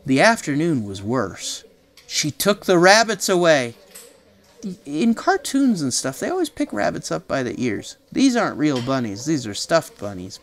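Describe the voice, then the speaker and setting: A woman reading a children's picture book aloud, chattily explaining the pictures with casual asides. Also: extremely low pitch. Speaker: read-aloud storyteller.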